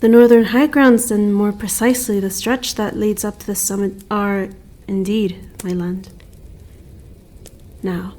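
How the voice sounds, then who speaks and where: Soft, mellow female winter spirit, acted by a woman. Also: mid-range voice, natural voice. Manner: formal, archaic, measured phrasing. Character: calm, kindly female winter spirit guarding her snowy mountain land. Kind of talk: roleplay ASMR acting.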